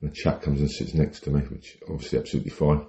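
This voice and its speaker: A British man with a very deep, mellow voice co-hosts a casual podcast. He tells stories in a wry, rambling style. The audio is slightly dull and lo-fi. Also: fairly even intonation, fast, gravelly. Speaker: podcast co-host. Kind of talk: casual chat, telling anecdotes.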